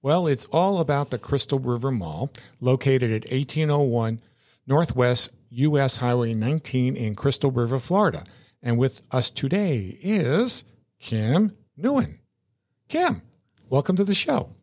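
A man's voice: medium pitch, expressive, a little slow, dry recording. A man hosting a local business podcast in a mall studio, chatty and folksy, often repeating words as he thinks. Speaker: podcast host.

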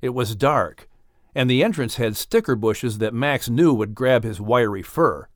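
A man narrating a children's audiobook in an expressive, dramatic and carefully phrased storytelling style. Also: medium pitch, a little slow, dry recording, studio quality.

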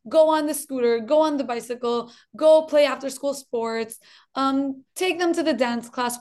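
Woman, slight colombian accent, high voice, dietitian, friendly explainer. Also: darkish tone. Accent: slight Colombian Spanish. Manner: friendly, explanatory, repeats for emphasis. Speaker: dietitian guest. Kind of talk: explanatory interview talk.

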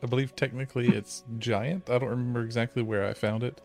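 A man playing a tabletop game, casually explaining things in a halting way as he searches for words. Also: mid-range voice, steady tone, a little mellow, soft background music. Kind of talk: casual chat, explaining character lore.

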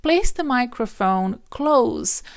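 A woman career coach giving direct, practical advice in a casual monologue, with a voice she calls squeaky. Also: lively intonation, slow, vocal fry, clear, resonant tone. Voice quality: says she has a squeaky voice.

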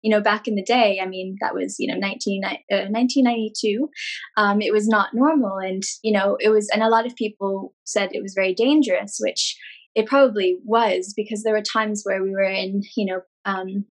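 Female guest, 30s, from California, relaxed and talkative. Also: medium pitch, fairly quick. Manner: chatty, rambling with many fillers and laughs. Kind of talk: casual interview chat.

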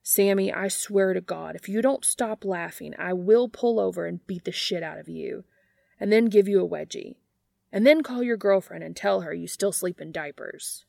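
A woman voicing a sarcastic, soft-hearted older brother who swears and talks bluntly in an audiobook-style story. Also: sits high, normal speed, vocal fry, no echo, clean studio sound. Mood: exasperated, threatening.

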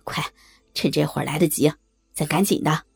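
A coarse, pushy northeastern man of middle years, voiced by a woman narrator. His voice sits deep and husky, and he talks bluntly, bossing people around. It reads like a homespun audio story. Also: moderate pace, darkish tone, dry recording, faint music behind, exaggerated voice. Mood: urgent. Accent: Northeastern Chinese. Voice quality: gruff, low put-on voice. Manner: blunt, domineering.

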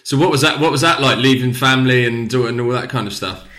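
Bright-voiced man hosting an interview. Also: medium pitch, fast. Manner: hesitant, rambling questions with repeated words. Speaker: interviewer, podcast host. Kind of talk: casual conversational interview.

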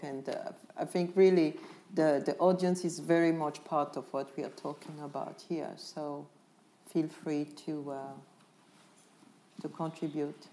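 A woman moderating a panel discussion, soft and encouraging, inviting others to speak. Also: low-pitched, a little hiss or hum. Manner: soft, encouraging, frequent murmured agreement.

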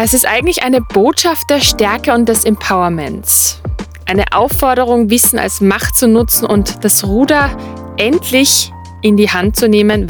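Female podcast host, mid-range voice, faint music underneath, introductions and interview questions. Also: mid-range voice.

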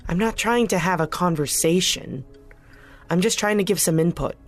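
A woman playing a cautious, defensive reformer with a measured way of talking in an audio drama. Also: fairly low pitch, faint music behind, lightly acted. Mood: frustrated. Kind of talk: naturalistic audio drama acting.

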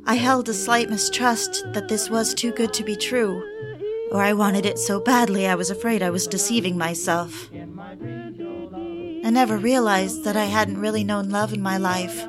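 A yearning woman in love , played by an actress, speaks in a medium-pitched voice with an intimate, reflective manner. It is confessional audio drama, with music clearly playing behind her. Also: close to an everyday voice. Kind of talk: audio drama, confessional first-person narration.

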